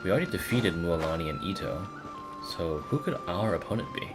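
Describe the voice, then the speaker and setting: A man with a low voice and lively intonation, streaming a game. He chats casually to himself as he plays, with music clearly behind him. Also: fairly quick. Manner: talks to himself, reads game text aloud. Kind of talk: casual livestream gameplay commentary.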